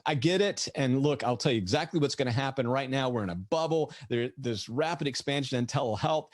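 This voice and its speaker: A middle-aged man with a slightly raspy, lecture-tired voice, giving an energetic webinar presentation full of rhetorical questions. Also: medium pitch, no room sound, studio quality. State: voice tired from lecturing all morning. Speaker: veterinarian presenter.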